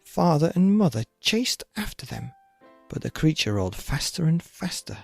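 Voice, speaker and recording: A man narrating a children's bedtime story in an expressive, theatrical and deliberate way. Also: on the high side, vocal fry, dry recording, soft background music. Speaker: podcast narrator.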